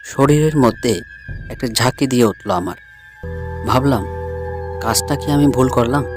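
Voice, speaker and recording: Casting: male storyteller, middle-pitched voice, scripted spooky-tale narration, clear music bed underneath. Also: measured pace. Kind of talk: scripted first-person ghost story narration.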